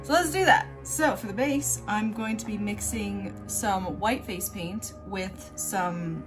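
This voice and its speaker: A woman's voice: mid-range voice, takes it slowly, music in the background. A woman hosting a makeup tutorial in a casual, chatty style, with an offhand, self-deprecating and informal way of talking.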